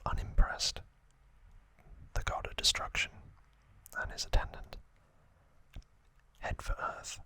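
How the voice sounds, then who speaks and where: A man whispering an ASMR recap as host, in short, deliberate phrases with pauses between them. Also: pushed and bright.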